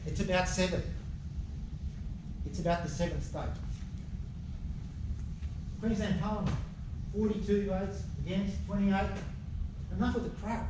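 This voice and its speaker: A man's voice: sits high, fairly even intonation, a little slow, pauses often. An Australian man giving a blunt, emphatic speech at a public forum, firing rhetorical questions at the audience. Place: public meeting room. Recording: echoey, distant mic, background noise.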